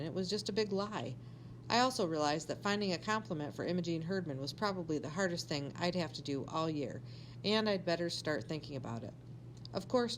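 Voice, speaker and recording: Woman, low pitch, expressive storybook read-aloud, teacherly delivery, noticeable background noise with hum. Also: rough recording.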